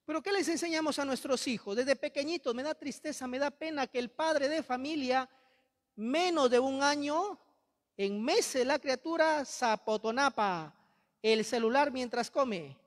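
A man's voice: very high voice, expressive, unhurried. Man, Peruvian accent, fervent repetitive sermon, handheld mic, long church echo. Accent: Peruvian Spanish. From Peru. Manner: impassioned, rhetorical repetition, reproachful. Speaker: priest. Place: large bright church.

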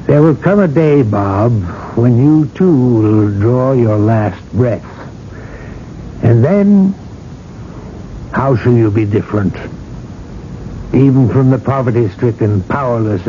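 An actor playing an older male cardinal in a radio drama, speaking in a measured, rhetorical and gently needling way. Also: animated, close to an everyday voice. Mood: grave, admonishing. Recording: muffled vintage radio broadcast, noisy rumble.

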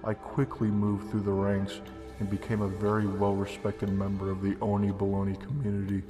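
A young man giving a deadpan, deliberate reading of a comedic horror story as narrator. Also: fairly low pitch. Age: young adult. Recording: long reverb, music behind. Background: music.

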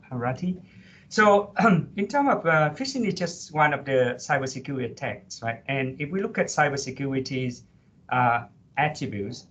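A middle-aged man, a lecturer on a panel, explaining point by point with many fillers over a video call headset. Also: mid-range voice. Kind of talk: panel discussion answer. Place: office with headset. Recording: dull lo-fi video call audio.

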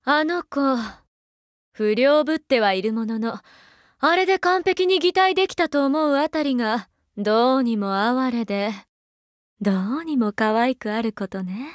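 High-voiced, doting, seductive mother figure. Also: expressive, measured pace, no echo, studio quality. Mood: tender, intimate. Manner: coaxing, breathy-whispering at times, archaic feminine phrasing. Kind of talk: Japanese mobile game character voice lines.

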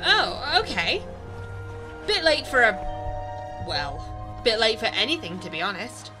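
A woman's voice: fairly high pitch, sing-song, a little slow. A woman with a British accent playing a sceptical, bewildered ordinary young woman in an audio drama, wry and colloquial. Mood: wry, musing. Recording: music under the voice.

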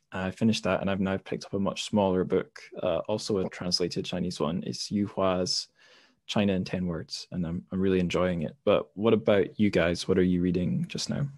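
A man hosting a book podcast, talking in a relaxed, friendly way with small fillers. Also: sits low, no echo, clean studio sound. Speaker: podcast host. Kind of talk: casual podcast interview.

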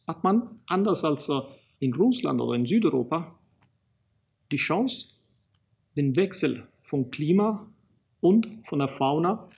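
A middle-aged man from Italy with an Italian accent, giving a public lecture as an archaeologist, pausing often with fillers and restarting sentences. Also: fairly high pitch, creaky, darkish tone, breathy edge. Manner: halting, many fillers and restarts. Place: small studio in front of a banner.

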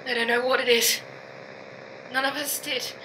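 Woman playing a halting, dying astronaut, sharp bright voice. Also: high voice, flat delivery, rough recording. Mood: weary, shaken. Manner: halting, broken sentences with repeated words. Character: dying woman astronaut engineer, frightened, grieving, resigned. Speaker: actor in audio drama. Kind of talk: science fiction audio drama acting. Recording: faint hum and noise behind.

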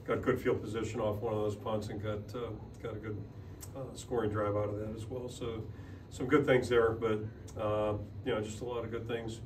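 Older coach at a roomy podium mic. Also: male voice, lowish voice, normal speed. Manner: steady, plain-spoken, many fillers and restarts. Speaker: head football coach. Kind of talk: postgame press conference answers. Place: press room in front of a logo backdrop. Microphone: podium microphone. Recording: roomy, slightly distant, noisy.

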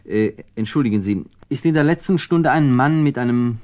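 A man's voice: mid-range voice, expressive, moderate pace, darkish tone, lightly acted. Man playing a grumbling writer with swooping intonation. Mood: grudging, concerned. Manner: testy, sighing, reluctant. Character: grumbling, busy male writer, the cat's exasperated owner. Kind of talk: German children's radio play acting. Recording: dull old vinyl record transfer.

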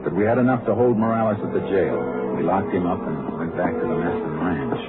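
An actor playing a calm, probing Texas Ranger in a terse, matter-of-fact radio drama style. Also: male voice, low voice, brisk, loud music behind the voice, lightly acted. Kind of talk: 1950s radio drama acting. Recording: noisy, narrow-band 1950 radio recording.

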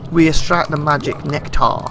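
A man reading out game dialogue as a grumbling, touchy undead skeleton tinkerer, halting now and then. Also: a little high, steady tone, gravelly, music in the background. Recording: noisy home game recording.

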